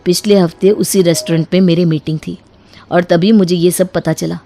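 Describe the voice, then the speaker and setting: A woman's voice: on the low side, steady tone, moderate pace, mellow, close to an everyday voice. A woman voicing an exasperated, protective grown son in a cartoon moral story, earnest and insistent. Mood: firm. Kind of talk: animated Hindi moral story dialogue.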